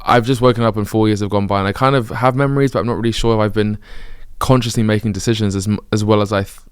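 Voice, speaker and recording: Fast-talking British man, thirty, candid. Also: fairly low pitch, steady tone, clear, resonant tone, no room sound, studio quality. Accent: British English. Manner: candid, rambling, self-correcting. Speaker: vlogger. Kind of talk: reflective personal monologue.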